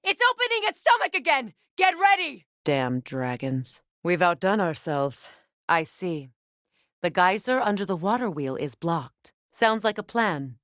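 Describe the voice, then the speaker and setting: A woman playing a proud, wounded warrior goddess and queen in a video game, measured, regal and dry. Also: lowish voice, very animated, fairly bright tone, no room sound. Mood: urgent, shouting, then dry, wry. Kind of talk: dramatic video game voice acting.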